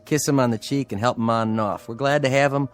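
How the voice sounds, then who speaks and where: A man's voice: mid-range voice, fairly even intonation, quick pace, faint music behind, natural voice. A man playing a stubborn, wry bus driver in a radio drama, blunt and folksy in his delivery. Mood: sardonic, folksy. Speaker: actor in a radio play.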